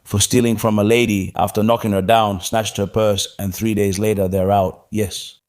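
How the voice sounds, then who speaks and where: A man's voice: on the low side, flat delivery, moderate pace, darkish tone. A man from Morocco, a guest in a casual interview, talking bluntly and repeating his points.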